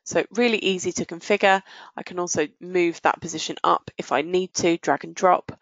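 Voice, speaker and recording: A woman presenting a software demo in a conversational, explanatory way, pausing and stretching words as she goes, on dull, muffled audio. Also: lowish voice, fairly even intonation, no room sound.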